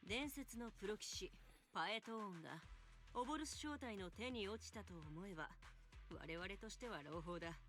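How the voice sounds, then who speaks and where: Commanding anime-game army officer, female actress, formal and composed, brisk delivery over faint music. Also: mid-range voice, animated, fairly bright tone, natural voice. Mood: coolly pragmatic. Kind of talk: Japanese video game voice acting.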